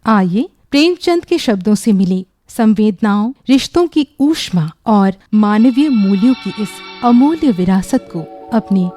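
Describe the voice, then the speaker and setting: Lady radio announcer, soft mellow highish voice, formal scripted read, dry sound. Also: expressive, unhurried, few pauses, dry recording, faint music behind. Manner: formal, literary diction.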